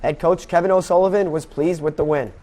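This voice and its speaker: A man's voice: on the high side, steady tone, a little fast, dark tone. A young man delivering sports news as an anchor, in a scripted broadcast style. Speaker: sports anchor and play-by-play announcer. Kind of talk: scripted sports newscast and baseball commentary.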